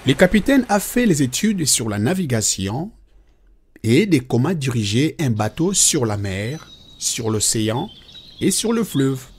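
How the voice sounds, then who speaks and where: A man's voice: medium pitch, big pitch swings, measured pace, a little hiss or hum. A man playing a patient cartoon father who explains God and nature to children in a reassuring, teacherly way. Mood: explaining. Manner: didactic, reassuring.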